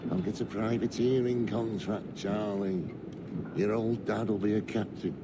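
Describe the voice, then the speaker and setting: An actor playing a bitter, hot-tempered, foul-mouthed pirate captain with a gravelly voice in a video game cutscene. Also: male voice, lowish voice, steady tone, measured pace, very noisy, natural voice. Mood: musing, scornful.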